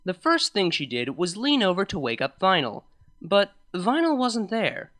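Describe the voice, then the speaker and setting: A woman reading a scripted fan fiction story as narrator, in an expressive storytelling manner. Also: mid-range voice, moderate pace.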